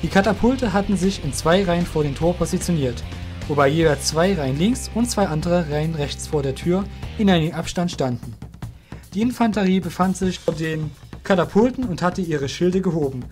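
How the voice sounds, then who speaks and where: Higher-pitched male storyteller over music. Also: brisk, darkish tone. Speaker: narrator. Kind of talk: scripted fantasy audio drama narration.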